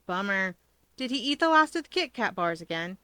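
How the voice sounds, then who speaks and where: A woman's voice: mid-range voice, sing-song, brisk, sharp tone, clear, resonant tone, no echo. A woman voicing a cheerful, easygoing schoolboy with an upbeat, casual manner in a story reading. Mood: amused. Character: cheerful, easygoing schoolboy humoring his friend. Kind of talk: audiobook character voice.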